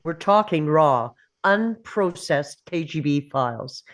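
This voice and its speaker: A woman playing a brisk, confident CIA officer arguing her case at a script table read, assertive and emphatic. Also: sits low, expressive. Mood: firm, persuasive.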